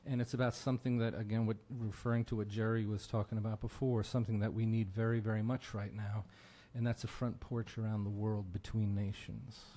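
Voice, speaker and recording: A man's voice: fairly low pitch, flat delivery, fairly quick, few pauses. A man, a guest folk musician, talking casually and earnestly in a plain-spoken way as he introduces a song. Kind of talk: casual talk introducing a song at a live show.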